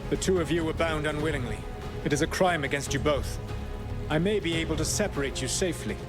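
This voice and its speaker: An actor playing an earnest, righteous man in a video game, speaking with formal, measured wording and solemn concern. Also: fairly high pitch, lightly acted. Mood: earnest, grave. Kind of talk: dramatic video game dialogue. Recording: loud music behind voice.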